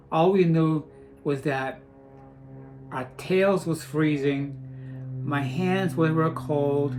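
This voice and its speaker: A man's voice: a little high, a little hiss or hum. Rambling man in a casual vlog. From Portugal. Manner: rambling, with restarts. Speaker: vlogger. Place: home in Florida.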